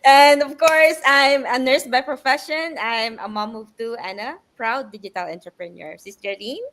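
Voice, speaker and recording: A woman's voice: sits high, moderate pace, fairly bright tone. A woman from the Philippines with a Filipino accent, casually introducing herself as a livestream co-host.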